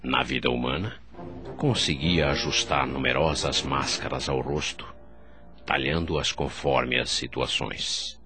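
A very deep-voiced, remorseful man, tells his own story over soft music. His Brazilian Portuguese is formal and solemn, turned inward like a confession in a radio play. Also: steady tone, a little slow, exaggerated voice. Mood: solemn reflection. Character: remorseful male doctor spirit recalling his past. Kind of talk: first-person radio drama narration.

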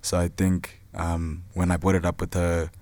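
A man's voice: sits low, flat delivery, normal speed, a little mellow, no room sound. A South African man in his twenties, talking casually about himself as an interview guest. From Zimbabwe and South Africa. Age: 20s. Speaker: podcast guest, film student and photographer.

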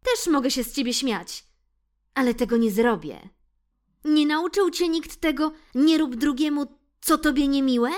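A woman voicing a calm, principled male storybook character who stands up to bullies. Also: high-pitched, a little slow, pitched above the performer's own voice. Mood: earnest. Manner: earnest, lecturing. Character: blue-colored male friend, calm and principled.

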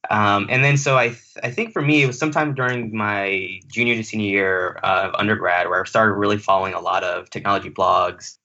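A man's voice: fairly low pitch, fairly even intonation, fairly quick, hardly any pauses, pushed and bright. A young man from Jamaica, a podcast guest chatting casually about his path into tech, with lots of "like" and "you know" fillers. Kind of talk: casual interview chat. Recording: dull lo-fi audio.

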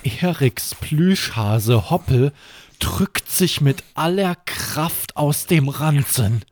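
A man hosting and narrating a scripted children's bedtime story, measured and suspenseful, with deliberate pauses. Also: a little high, lively intonation, a little mellow, no room sound. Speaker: podcast host and storyteller.

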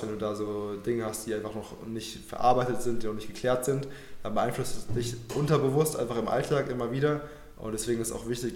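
A young man speaks quickly and earnestly, his words tumbling out with filler words and slangy turns as he reflects. His voice sits at an ordinary middle pitch. The room around him rings a little. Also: a little mellow, echoey room. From Germany. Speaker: guest. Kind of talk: casual personal interview.

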